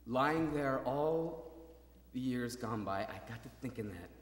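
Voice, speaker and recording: An actor playing a maimed young soldier, desperately hopeful, in a halting, intense dramatic monologue that moves between murmurs and cries. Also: male voice, mid-range voice, animated, slight background noise, natural voice. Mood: haunted, searching. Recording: reverberant hall, stage performance.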